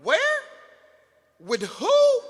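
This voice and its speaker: A man's voice: very high-pitched, wildly swooping pitch, drawn-out words. A teenage man performing a spoken word duet with theatrical, comic outbursts. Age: teens. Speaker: slam poet. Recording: reverberant hall.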